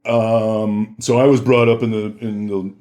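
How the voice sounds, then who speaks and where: A man's voice: lowish voice, flat delivery, a little slow, dark tone. A man, telling stories casually as a podcast guest, informal and joking. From Minneapolis, USA. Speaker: podcast guest, DJ and personal trainer. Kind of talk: casual storytelling.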